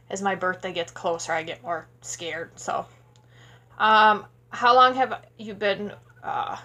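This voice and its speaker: A woman's voice: mid-range voice, bright, forceful tone. Chatty sleepy woman in her thirties. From Wisconsin. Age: 30s. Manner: rambling, chatty, many fillers. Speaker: vlogger. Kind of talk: casual Q&A vlog. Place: small home room indoors. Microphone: tablet camera at close range.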